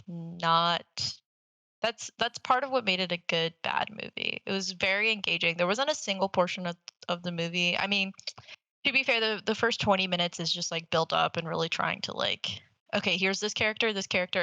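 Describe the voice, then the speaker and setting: A woman's voice: fairly low pitch, bright, forceful tone, no echo. A woman co-hosting a podcast, casually rambling through a movie review in informal language. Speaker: podcast co-host.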